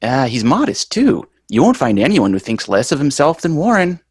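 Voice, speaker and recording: A sheepish sitcom schoolboy, acted by a man, earnest with a wry edge. The voice is husky and lively in pitch, recorded close and dry. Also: moderate pace, dry recording. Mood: wry, encouraging. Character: sheepish, apologetic sitcom schoolboy, smooth-talking son. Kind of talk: amateur table read of a TV sitcom.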